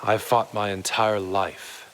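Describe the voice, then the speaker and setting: A war-weary Roman lord in a radio play, stern and imperious. He speaks slowly and deliberately, and his words ring in a long hall reverb. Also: fairly low pitch, little pitch movement, a bit bright. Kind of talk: theatrical audio drama. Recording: long hall reverb, water sounds behind.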